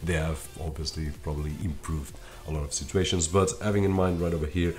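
A man's voice: a bass voice, little pitch movement, quick pace, a little mellow, soft background music. A man in his thirties whose first language is Portuguese, reviewing tech gear to camera from a home office in a chatty way. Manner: chatty, talks straight to viewers with frequent fillers. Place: home office with computer desk.

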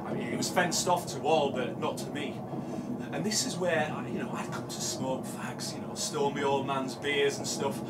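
An actor with a British accent playing a haunted, obsessive man confessing to a killing in a halting, repetitive live horror monologue that swings between hush and shouting. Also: mid-range voice, steady tone, heavy background noise, natural voice. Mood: hushed, shaken. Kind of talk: live dramatic monologue of horror audio drama. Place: small dim café stage before seated audience. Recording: distant live room mic, music behind.

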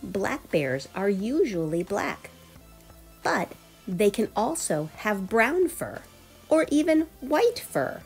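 A woman reading a children's nonfiction book aloud as storyteller, expressive and addressing young listeners directly. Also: medium pitch, slow, gravelly. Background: background music.

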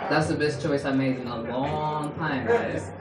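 A young man from Spain with a Spanish accent, performing a playful stand-up set on stage with a handheld mic in a small club. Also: heavy background noise. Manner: animated, playful, jumps into high mock voices for quotes. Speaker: stand-up comedian. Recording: roomy club sound from far off, audience laughing.

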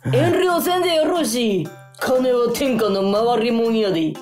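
A woman's voice: fairly high pitch, lively intonation, a little slow, darkish tone, roomy sound, faint music behind, voice pushed down. A woman voicing a schoolgirl who plays a tough, big-hearted male moneylender, in a menacing gangster tone with a Kansai accent. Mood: tough, commanding. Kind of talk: theatrical radio drama acting.

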